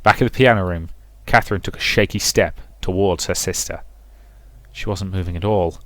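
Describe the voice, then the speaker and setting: A man's voice: sits low, normal speed, a bit bright. A man hosting a let's play, moving between casual commentary and reading a visual novel's story aloud. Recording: roomy echo with music behind. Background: game music.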